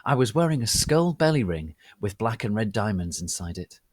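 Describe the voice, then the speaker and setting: A man giving a theatrical, deliberate dramatic reading of a story as its narrator. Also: on the low side, big pitch swings, a little mellow, dry recording, studio quality. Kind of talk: dramatic reading of fan fiction.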